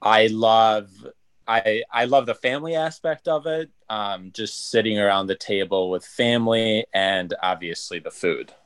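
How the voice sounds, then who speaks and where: A man from Israel with a fairly low voice answers casually as a student. His replies are short and agreeable, and the sound is very dry, with no room echo. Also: a little slow, a bit bright, clear, resonant tone. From born in Israel, moved to the US at nine. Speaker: student in an online class.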